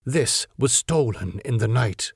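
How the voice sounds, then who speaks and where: A man's voice: medium pitch, lively intonation, slow, breathy edge, dry recording, studio quality, exaggerated voice. Man acting a stern, solemn old professor. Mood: grave, stern. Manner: grave, terse, sententious. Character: stern, solemn older male professor and vampire hunter.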